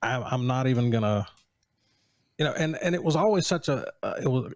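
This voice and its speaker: A man's voice: mid-range voice, animated, a little fast, a little mellow, no echo. A man in his late forties co-hosting a casual chat, teasing with dry jokes and banter. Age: 40s. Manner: wry, joking banter. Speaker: podcast co-host.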